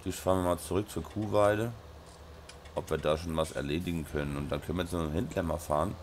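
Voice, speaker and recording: A man with a Rhine-Hessian German accent, hoarse from a sore throat and blocked nose, casually commenting on his farming game and mumbling with many ums. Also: deep voice, fast talker, slight background noise. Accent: Rhine-Hessian German dialect. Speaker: let's play host.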